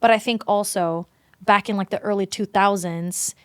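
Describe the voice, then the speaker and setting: A woman's voice: mid-range voice, steady tone, normal speed, clear, resonant tone, no echo. A woman from Sweden talking as a podcast guest, telling personal stories candidly and informally, with "like" sprinkled through her speech. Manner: informal, filler "like" very frequent. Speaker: podcast guest, singer-songwriter. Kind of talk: candid conversational storytelling.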